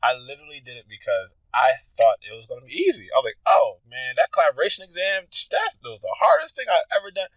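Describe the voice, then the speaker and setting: Lively man telling his story. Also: medium pitch, fast, hardly any pauses, dry recording, rough recording. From Philadelphia, USA. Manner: animated storyteller who laughs easily and acts out his past thoughts. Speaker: guest. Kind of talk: casual conversation.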